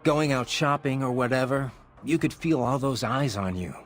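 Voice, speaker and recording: An actor playing a brooding, cynical cyborg mercenary in a video game, gruff and terse. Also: male voice, mid-range voice, expressive, normal speed, slight background noise, close to an everyday voice. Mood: wry, reflective. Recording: music behind.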